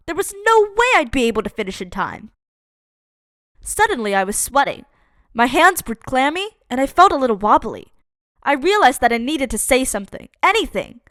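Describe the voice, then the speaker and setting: A woman giving a lively, expressive read-aloud of a children's picture book. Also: on the high side, dry recording, clean studio sound. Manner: lively, expressive reading for kids.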